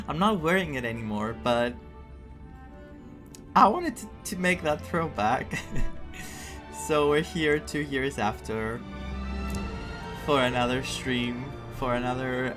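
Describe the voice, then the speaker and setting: Excitable young man with Greek accent. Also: medium pitch. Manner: excitable, laughs often, chatty. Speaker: streamer. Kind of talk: casual gaming commentary, reading quest text aloud.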